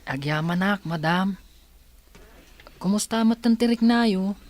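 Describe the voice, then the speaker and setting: A man's voice: sits high, slow pace. A man playing a polite, worried, orphaned young student who speaks respectfully and earnestly in a radio drama. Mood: concerned. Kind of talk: Ilocano radio drama acting.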